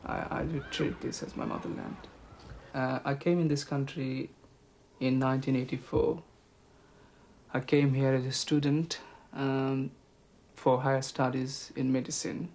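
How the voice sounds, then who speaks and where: A middle-aged man from Bangladesh with a Bangladeshi accent, answering interview questions in a measured, hesitant way. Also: medium pitch, flat delivery, a little mellow. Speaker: health promotion officer interviewee.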